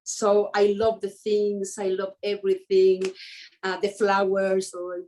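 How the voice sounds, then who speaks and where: A woman, speaking English as an as an interview guest, often pausing and restarting to find her words. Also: mid-range voice, completely flat delivery, dark tone, poor audio. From Mexico. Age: 50s. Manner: hesitant, searching for words with many restarts. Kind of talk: conversational interview.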